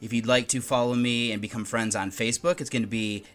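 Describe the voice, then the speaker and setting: A man's voice: medium pitch, steady tone, quick pace. A man hosting a film review podcast, talking casually and sometimes restarting a word. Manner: conversational, occasional restarts while reading notes. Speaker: podcast host.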